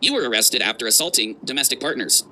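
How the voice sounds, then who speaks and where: A man's voice: mid-range voice, animated, quick pace, bright, forceful tone, slight background noise, poor audio. A man hosting a livestream with mocking reaction commentary, sarcastic and emphatic in delivery.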